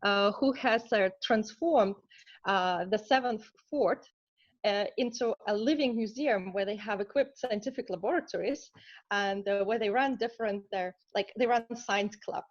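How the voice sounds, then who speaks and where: A woman's voice: medium pitch, moderate pace. Hesitant Lithuanian woman, lo-fi. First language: Russian. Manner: earnest, many hesitations. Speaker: scientist guest. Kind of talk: interview answers.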